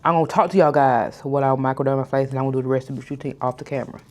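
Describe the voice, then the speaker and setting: A woman in her thirties chatting casually to her followers in a skincare vlog. She stutters at times, mispronounces some words, and slows her speech down on purpose. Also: very deep voice, fairly even intonation, darkish tone. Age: 30s. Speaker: vlogger. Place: hotel room, indoors.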